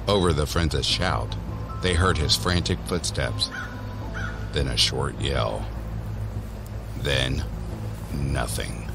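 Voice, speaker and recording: Very deep-voiced man narrating slowly. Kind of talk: scripted horror audio drama narration. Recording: voice over background music.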